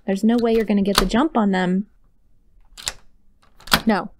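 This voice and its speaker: A woman's voice: mid-range voice, fairly even intonation, a little slow, background noise, close to an everyday voice. A woman playing a sweet, awkward, clingy young yandere kidnapper in an ASMR audio roleplay, rambling and gushing straight to the listener. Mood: anxious, insistent.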